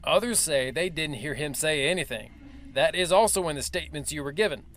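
A formal army major briefs the press with clipped assurance, as a man acts him out in an audio drama. His voice sits a bit high, and his delivery is firm and official. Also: lively intonation, a little fast, fairly bright tone. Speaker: military officer.

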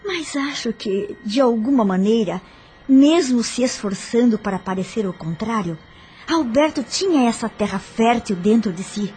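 A woman's voice: fairly high pitch, expressive, normal speed, a little mellow, lightly acted. An actress playing a troubled widow in a radio soap, speaking earnestly and respectfully as she seeks understanding. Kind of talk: radio soap opera acting. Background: music.

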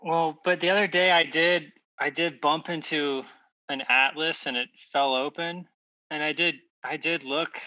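A man playing a meek, slow-witted fellow in improvised comedy, hesitant and apologetic. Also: a little high, steady tone, sharp tone, no room sound. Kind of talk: improvised comedy character acting. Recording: dull, slightly distant microphone.

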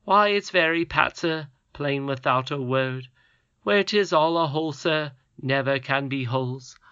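Little goblin cobbler voiced by a woman, sing-song, bright, storybook. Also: sits low, measured pace, smooth, dry recording, lo-fi sound.

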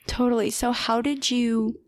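A woman's voice: a little high, fairly even intonation, a little slow, dry recording. A young woman hosting an interview podcast, introducing the show and talking with her guest. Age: young adult. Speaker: podcast host.

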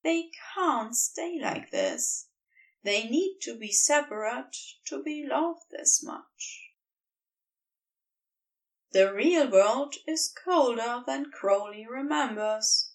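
Woman, high voice, slow gentle story narration, scripted literary reading, slightly distant mic. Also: lively intonation. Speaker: fan fiction audiobook reader.

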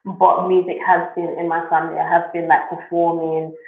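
Female guest, relaxed and steady; phone-quality, roomy audio. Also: on the low side, moderate pace, gravelly. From Nigeria and England. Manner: relaxed, steady, many ums. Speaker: musician guest. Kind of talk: interview answers. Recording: echoey phone-like remote audio.

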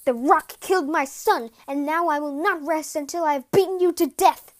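A woman voicing an angry, vengeful farmer and grieving father in a storybook fable, loud and stern. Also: high-pitched, moderate pace, lightly acted. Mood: vengeful fury.

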